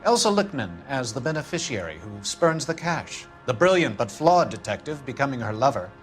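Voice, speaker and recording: An actor playing a smug, middle-aged, corrupt insurance vice president in a video game, haughty, wordy and condescending. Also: male voice, mid-range voice, big pitch swings, a little fast, faint music behind, natural voice. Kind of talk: dramatic video game acting.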